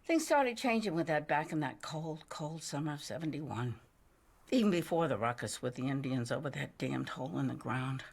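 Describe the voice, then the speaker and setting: An actress playing a weary, haunted older American widow in a game cutscene, telling her story wistfully in a husky voice that often drops to a breathy hush. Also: deep voice, animated, full character voice. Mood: wistful, bitter. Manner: slow-burning, wistful storytelling. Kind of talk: dramatic game cutscene monologue.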